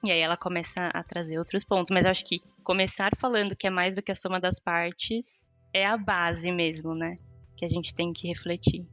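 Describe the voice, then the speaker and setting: A woman's voice: lowish voice, steady tone, moderate pace, fairly bright tone, dry recording. A woman with a Brazilian accent, co-hosting a podcast and explaining casually with frequent question tags. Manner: peppers talk with question tags. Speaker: podcast co-host. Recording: dry, with faint music behind.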